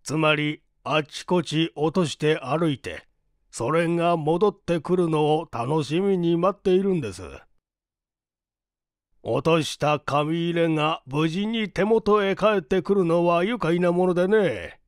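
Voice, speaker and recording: A narrator doing a slow, self-satisfied older gentleman. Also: mid-range voice, no echo, clean studio sound, close to an everyday voice. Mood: pleased, self-satisfied. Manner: leisurely, pompous, old-fashioned speech. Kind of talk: dramatized literary reading.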